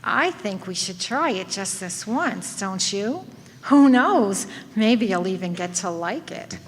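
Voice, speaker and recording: An actress playing a naive, excitable, slangy detective's girlfriend in an old-time comic radio play. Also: mid-range voice, slow, a little hiss or hum. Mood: excitable, coaxing. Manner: ditzy, slangy. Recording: echoey hall stage recording.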